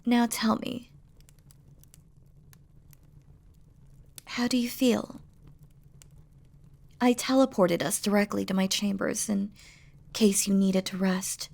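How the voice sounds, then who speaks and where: A woman playing a devoted, regal demon queen in a romantic audio roleplay, speaking softly and formally to her lover, often dropping to a whisper. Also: mid-range voice, fairly even intonation, unhurried, vocal fry, long pauses. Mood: soft, affectionate. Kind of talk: scripted romantic audio roleplay, spoken intimately to the listener.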